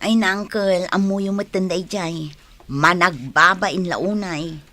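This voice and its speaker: A woman's voice: fairly low pitch, slow pace, pushed and bright. An actress playing a polite, earnest younger woman, with a Filipino accent in radio drama style. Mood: pleading. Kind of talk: Ilocano radio drama acting. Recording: faint music bed under dialogue. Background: faint background music.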